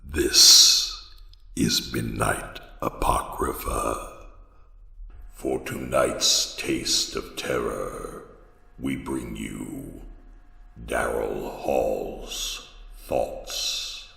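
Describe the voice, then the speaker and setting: A man's voice: extremely low pitch, very slow, vocal fry, pushed and bright, exaggerated voice. A man playing a sinister horror radio host, speaking in a menacing, theatrical manner. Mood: ominous. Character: sinister male host of a midnight horror show. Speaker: announcer of a horror anthology show. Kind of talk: 1930s radio horror introduction. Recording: cavernous hall reverb.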